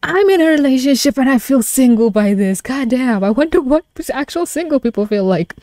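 Animated, gushing woman reacting live. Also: high voice, fairly quick, no room sound, studio quality. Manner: gushing, giggly, flustered, swearing freely. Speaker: streamer reacting to a webcomic. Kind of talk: live reaction with casual commentary and reading aloud.

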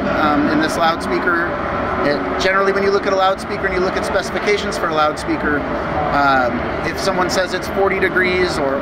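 A middle-aged man explaining a product in an informal interview, speaking in a measured, halting way. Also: fairly high pitch. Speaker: company tech support director giving product interview. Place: exhibition booth in a large indoor trade hall. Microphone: handheld or stand interview mic at chest height. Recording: loud busy trade-show hall background noise.

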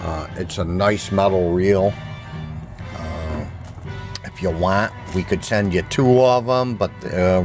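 Low-pitched man demonstrating gear. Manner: plainspoken, repetitive sales patter. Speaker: seller demonstrating used audio gear. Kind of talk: casual product demo narration. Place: indoor room at home, music playing behind. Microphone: handheld camera mic, speaker behind camera.

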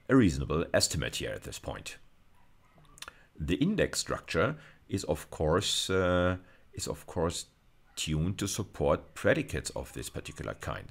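A middle-aged man giving a video lecture, explaining step by step and often restating key phrases. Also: sits low. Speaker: university lecturer.

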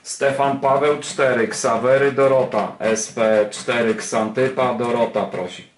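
A man's voice: medium pitch, steady tone, unhurried. A man informally demonstrating an amateur radio, muttering mild swear words and calling out over the air. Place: indoor room at a desk beside a transceiver. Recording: roomy, noisy with radio static. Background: radio receiver noise and chatter.

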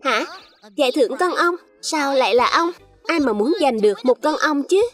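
A woman voicing excitable, childlike little fruit schoolchildren in a cartoon dub. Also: high voice, moderate pace, soft background music. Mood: anxious.

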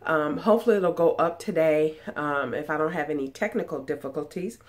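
Low-voiced woman with a stuffy cold. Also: fairly quick. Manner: chatty, rambling, many ums and restarts. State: congested from a cold, nasal. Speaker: sewing vlogger. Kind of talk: casual chat. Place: small room at home, indoors. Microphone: webcam at desk distance.

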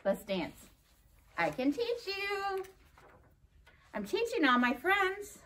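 Woman playing a very high, bossy little pig. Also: big pitch swings, slow, bright, forceful tone, exaggerated voice. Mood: eager, bossy. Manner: emphatic, instructing, masked storytime reading. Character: bossy, cheerful young pig friend. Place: indoor library meeting room. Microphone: camera several feet away. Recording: distant camera, faint room noise.

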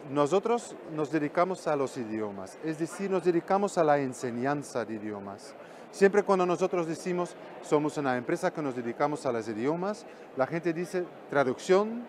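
A middle-aged man from Belgium speaks, his voice sitting a little high for a man. He gives an animated conference talk and hammers home points by repeating them. A faint hum of noise sits under the recording, picked up by a camera at a distance. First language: Flemish. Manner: animated, gestures constantly with both hands, repeats points for emphasis. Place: exhibition stand in a hall.

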